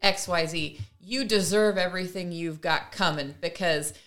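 A woman talks as a co-host in a casual, conversational style. Her voice sits a little low but is bright and forceful, and she slips into imagined quotes to make her points. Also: normal speed. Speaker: podcast co-host.

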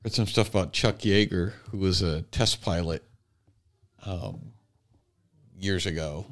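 A man's voice: low-pitched, a little slow. A man co-hosting a podcast, telling things casually and tentatively, often correcting himself. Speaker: podcast co-host. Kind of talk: casual conversational storytelling.

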